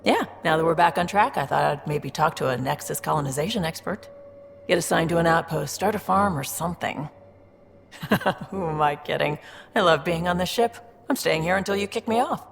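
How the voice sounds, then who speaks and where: An actress playing a candid, good-humored starship crew member in a video game, casual and self-mocking. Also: female voice, deep voice, fairly quick, gravelly, big-room sound, a little hiss or hum. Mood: relieved, wistful.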